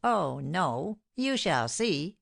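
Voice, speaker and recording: A woman's voice: low voice, big pitch swings, gravelly, heavily acted. A woman reading aloud voices a sly, boastful male servant who drags out his story. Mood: gossiping. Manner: dramatic, drawn-out storytelling.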